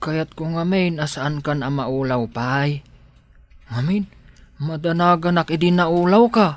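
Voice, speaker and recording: A man's voice: on the high side, unhurried, no room sound, close to an everyday voice. A man with an Ilocano accent playing a loyal, protective household helper in an audio drama, speaking deferentially to his employer. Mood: gentle, attentive.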